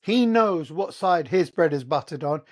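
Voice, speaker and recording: A man's voice: a little high, lively intonation, normal speed. A man with an English accent, hosting a heated solo monologue, blunt and profane, firing off rhetorical questions. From England. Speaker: host. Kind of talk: ranting monologue.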